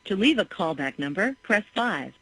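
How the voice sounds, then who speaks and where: A woman's voice serves as an automated voicemail prompt. She delivers instructions in a measured, formal way, phrase by phrase. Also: medium pitch, very animated, bright, forceful tone, breathy edge, no echo, rough recording.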